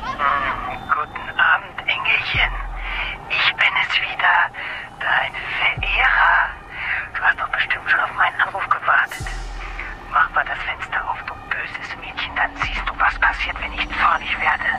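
A menacing stalker played by a man whispers slowly, his breathy voice pitched unusually high and buried in heavy noise. He coaxes and commands by turns, obsessive and controlling, as in a radio thriller. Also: lightly acted. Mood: menacing, silky. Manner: slow, controlling, coaxing then commanding. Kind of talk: radio crime drama acting.

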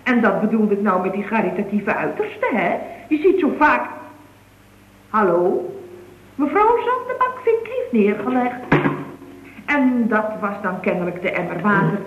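A woman's voice: mid-range voice, big pitch swings, a little slow. A woman playing a chatty, cheeky office secretary in a radio comedy around 1970, gossipy and full of tag questions. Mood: indignant. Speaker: actress in a radio comedy serial. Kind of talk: 1970s Dutch radio comedy acting. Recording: dull, echoey, noisy vintage radio recording.

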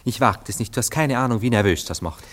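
An actor in a German radio play, playing a self-dramatizing, indecisive lover, effusive and hesitant. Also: male voice, medium pitch, fast talker, natural voice. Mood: solemn, nervous. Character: self-dramatizing, sentimental, indecisive male lover, a Viennese dandy.

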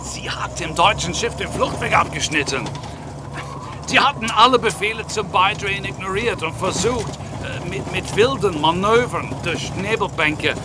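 High-voiced, emphatic naval commander. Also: male voice, lively intonation, moderate pace, background noise. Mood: emphatic, animated. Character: brisk, emphatic male naval commander at remote harbour. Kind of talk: German radio drama acting.

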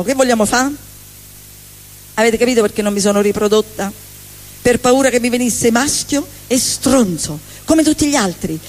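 A woman's voice: high voice, darkish tone. Lady doing stand-up, dry sarcastic, rattles through lists on a stage. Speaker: comedian. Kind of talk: stand-up monologue. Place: stage at a live benefit evening.

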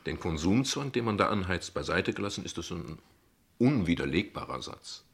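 A courteous, philosophizing father in a radio play. His voice is deep, creaky and husky. He speaks reflectively and articulately, with slight hesitations and dry wit. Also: big pitch swings, moderate pace, heavily acted. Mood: wry, friendly. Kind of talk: naturalistic German radio play acting.

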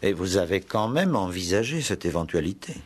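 An actor playing a discreet, measured police inspector who speaks politely and deliberately, in 1960s French radio drama style. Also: male voice, low-pitched, very animated, slightly rough, heavily acted. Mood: tactful, probing. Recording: vintage 1960s radio play recording.

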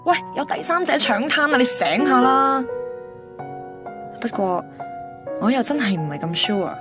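An actress playing a concerned, easily flustered young woman friend in a Hong Kong Cantonese radio drama, colloquial and animated. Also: sits high, measured pace. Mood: anxious, guilty. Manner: animated, colloquial, mixes in English words. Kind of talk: Cantonese radio drama dialogue and inner monologue. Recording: music bed under the voice.

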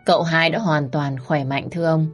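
A woman voicing a calm male doctor who speaks in a measured, reassuring way. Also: low-pitched, soft background music, close to an everyday voice.

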